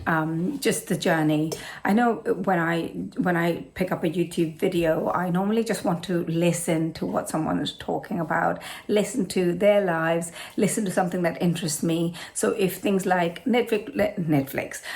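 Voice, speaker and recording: A British woman with a hoarse, slightly low voice introduces her knitting and travel channel. She rambles casually and keeps correcting herself with plenty of ums and uhs. The sound comes from a simple, non-professional camera setup. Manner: rambling, full of fillers and self-corrections.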